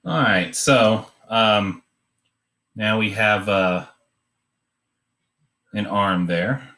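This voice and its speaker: Slow, deep-voiced man thinking aloud. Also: sharp tone. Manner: hesitant, halting, unscripted. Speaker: art demonstrator. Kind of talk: informal drawing demonstration, thinking aloud.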